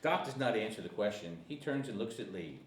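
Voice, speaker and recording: Animated man narrating in an echoey room. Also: lowish voice, a little fast, recorded from a distance. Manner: stumbles and repeats words while reading. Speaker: stage-direction narrator. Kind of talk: table read of a comedy screenplay. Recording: echoey room with faint noise.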